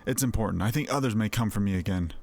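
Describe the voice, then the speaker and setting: A man voicing a troubled, earnest fantasy game character, reading the dialogue in a deliberate way. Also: fairly low pitch, creaky, a little mellow, slight background noise. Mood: shaken, anxious.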